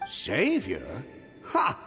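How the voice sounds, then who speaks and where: A man's voice: fairly low pitch, huge pitch swings, drawn-out words, vocal fry, bright, forceful tone, faint music behind, heavily acted. An actor playing a jovial old townsman who drawls and banters theatrically in a video game. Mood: teasing. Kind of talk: game voice acting. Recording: long echoing reverb.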